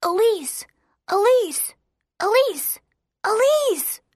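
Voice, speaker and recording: A woman's voice: sits high, lively intonation, slow, no echo, clean studio sound. A woman voicing an earnest young boy, an easily puzzled playmate in a storybook, with plain childlike delivery. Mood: alarmed.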